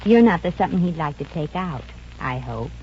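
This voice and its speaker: A woman's voice: deep voice, lively intonation, brisk. An actress playing a brassy, jealous young girlfriend in 1950s radio comedy, with a sassy, slangy delivery. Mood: jealous sarcasm. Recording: muffled, noisy 1950 radio recording.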